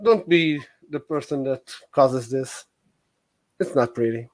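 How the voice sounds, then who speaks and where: A man's voice: medium pitch, slow. A man from Portugal with a Portuguese accent, chatting casually as the host of a stream. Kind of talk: casual chat.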